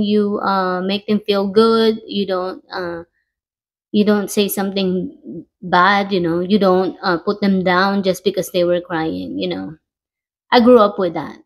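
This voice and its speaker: Middle-aged Filipino-accented woman vlogging casually. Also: medium pitch. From Philippines. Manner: halting, conversational, frequently seeking agreement with "you know". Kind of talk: casual personal storytelling to camera.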